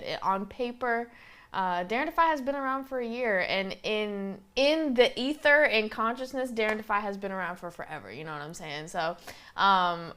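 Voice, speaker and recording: A young woman with a slight Southern twang hosts her podcast, rambling through it with lots of fillers. Her voice is smooth and clean, and her pitch sits in the middle range. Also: a bit bright. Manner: chatty, rambling, many fillers. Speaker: podcast host. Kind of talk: casual solo podcast monologue.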